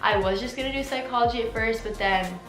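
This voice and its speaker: A chatty eighteen-year-old woman talks through viewer questions in a loose, filler-heavy way, her throat a little sore. Her voice carries a noticeable echo off the room, and upbeat music plays clearly underneath. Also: on the high side, quick pace, fairly bright tone. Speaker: vlogger. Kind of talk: casual Q&A vlog. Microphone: camera mic.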